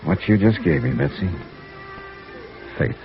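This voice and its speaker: An actor playing a worldly, soft-hearted insurance investigator in clipped, wry 1950s radio noir style. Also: male voice, low voice, measured pace, vocal fry. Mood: gentle, concerned. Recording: dull vintage radio recording, faint music.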